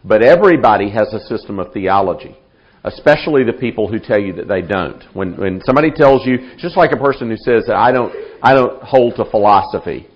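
A man, a theology professor, answering audience questions in a live talk, measured and unhurried with many fillers and restarts, with a loud voice. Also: on the low side, animated, slightly rough, muffled recording. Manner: loud projecting voice.

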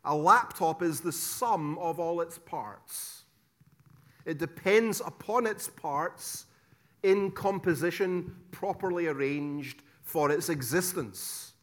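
Scottish preacher, middle-aged, raised voice, emphatic teacher with hushed asides. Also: male voice, on the high side, slow. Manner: emphatic, swings between loud stresses and quiet asides, rhetorical questions. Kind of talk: theology lecture.

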